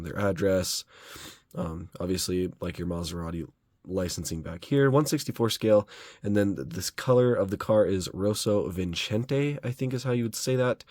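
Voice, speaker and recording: A young man with a slightly raspy voice from a cold, casually reviewing diecast model cars in a relaxed, rambling way. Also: sits low, no echo. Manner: relaxed, chatty, rambling with fillers.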